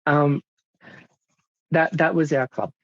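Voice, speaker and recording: An Australian man in his thirties giving a club presentation, halting with many fillers. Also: fairly high pitch, fairly even intonation, fairly bright tone, dry recording. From South Australia. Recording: dull dry lo-fi sound.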